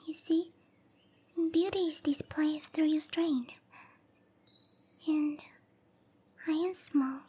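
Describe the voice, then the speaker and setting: Tiny, very high-pitched shy cowgirl. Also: slow, long pauses. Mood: bashful, insecure. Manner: hesitant, apologetic, soft-spoken with breathy delivery. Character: tiny, shy young cowgirl monster girl yearning for adventure. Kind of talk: roleplay ASMR, anime-style character acting.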